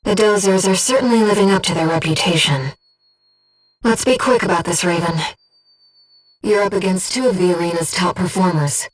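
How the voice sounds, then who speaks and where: A woman playing a calm, devoted disembodied companion who guides a pilot in a video game, speaking in a measured, earnest, gently formal way. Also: lowish voice, distant mic, soft background music, close to an everyday voice, low-quality recording. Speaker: mission support companion. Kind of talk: scripted video game voice acting.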